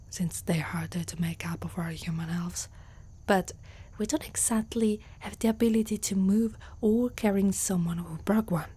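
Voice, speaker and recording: A woman from Italy playing an older, protective female centaur warrior haunted by loss, in a soft, slow, breathy whisper for an ASMR roleplay. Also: fairly low pitch.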